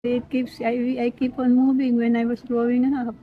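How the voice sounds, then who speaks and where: A woman in her nineties from the Philippines, speaking with a Filipino accent and Pampango as her first language, rambling gently and laughing easily as she recalls her life. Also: a little high, level tone, normal speed. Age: 90s. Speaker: interviewee. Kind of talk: reminiscing in casual chat. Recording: lo-fi with background noise.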